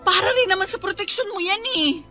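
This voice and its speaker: A woman's voice: extremely high pitch, fairly quick, pushed and bright, soft background music. A woman with a Filipino accent playing a bossy, protective young best friend in a radio drama, scolding and insistent. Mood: urgent, protective.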